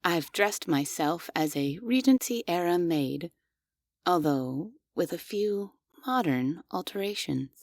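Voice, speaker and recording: A woman voicing a devoted, obsessive female android maid in an audio roleplay, speaking softly and formally with quietly unsettling questions. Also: fairly low pitch, lively intonation, slow, dry recording, clean studio sound. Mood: gentle, doting. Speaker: voice actress.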